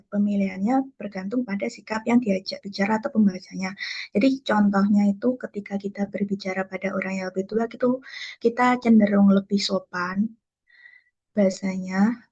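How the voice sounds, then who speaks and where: Breathy young woman presenting a class. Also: mid-range voice, warm tone, muffled recording. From Kediri, East Java. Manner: casual explanatory, fillers like gitu. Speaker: student presenter.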